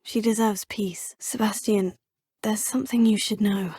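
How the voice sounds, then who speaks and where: A woman's voice: mid-range voice, fairly even intonation, a little slow, mellow, breathy edge, no echo. An actress voicing a polite, composed young female student witch with a British accent, speaking game dialogue close to a studio mic. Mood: earnest. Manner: polite, proper and well-spoken. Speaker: player character, student. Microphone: close studio mic, dry.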